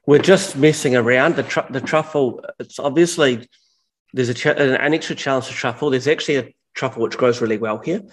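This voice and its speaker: A man talks through a video call from a large, bare workshop room. His pitch sits in an ordinary middle range, and he wanders through his explanations, restarting and correcting himself as he goes. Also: fairly quick, poor audio. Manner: rambling, self-correcting explanations. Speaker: mushroom farmer guest. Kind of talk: casual video call chat. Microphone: video call, headphones on.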